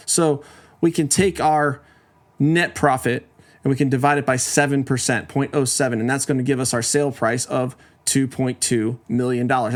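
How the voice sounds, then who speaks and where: Man, 40s, podcast host; casual explainer, conversational, rhetorical questions. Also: mid-range voice.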